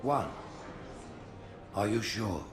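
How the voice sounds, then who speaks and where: An actor playing a stern alien religious leader in a video game, with a pompous, ceremonious and deliberate way of speaking. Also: male voice, fairly low pitch, animated. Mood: calm, probing. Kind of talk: video game cinematic acting. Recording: music behind the voice.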